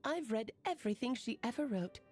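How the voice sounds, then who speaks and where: A playful witch from an anime game, high in pitch and full of airy confidence. She teases in a theatrical, whimsical way with lively swoops, over light background music. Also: fairly quick. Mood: breezy.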